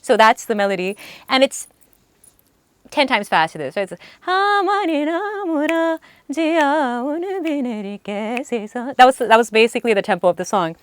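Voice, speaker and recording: Animated young woman telling stories. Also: high voice, normal speed, dry recording. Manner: animated, laughing, acts out quotes. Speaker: singer, interview guest. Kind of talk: storytelling in an interview.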